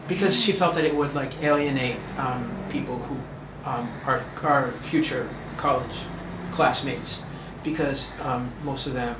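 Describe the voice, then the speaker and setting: A man's voice: mid-range voice, a little slow, hardly any pauses. A man, a guest in a casual interview, talking hesitantly with many ums and restarts. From United States. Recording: echoey room with clear background noise.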